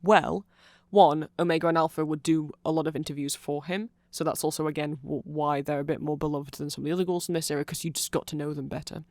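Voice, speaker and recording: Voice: medium pitch, fairly even intonation, fast, hardly any pauses, darkish tone, dry recording. A speaker giving an off-the-cuff, nerdy ASMR explanation, rambling along with asides and self-corrections, close to the microphone. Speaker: ASMR audio creator. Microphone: close microphone in a dry space.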